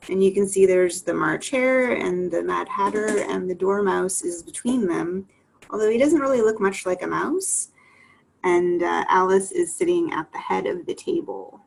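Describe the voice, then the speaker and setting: A woman reading a children's book aloud as a library read-along host, in a gentle, unhurried storytelling manner. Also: medium pitch, creaky, husky, poor audio.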